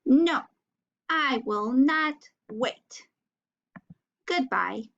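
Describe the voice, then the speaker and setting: Grumpy little picture-book animal, a woman putting it on, childish and huffy, high voice, slightly dull recording. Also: lively intonation, extremely slow, creaky, a bit bright, heavily acted. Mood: impatient, huffy. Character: impatient small animals, grumpy about waiting.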